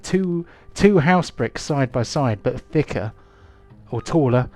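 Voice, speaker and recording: A man's voice: medium pitch, animated, takes it slowly, darkish tone, soft background music. A man, hosting and running a live-play tabletop game in a chatty, rambling way full of ums and restarts. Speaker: game master.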